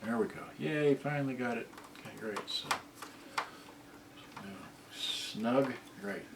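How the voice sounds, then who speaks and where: A man talking to himself in halting, casual mutters as he gives a hands-on repair commentary. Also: mid-range voice, creaky. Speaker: repair video host. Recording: distant roomy mic, loud noise, clicks and clatter.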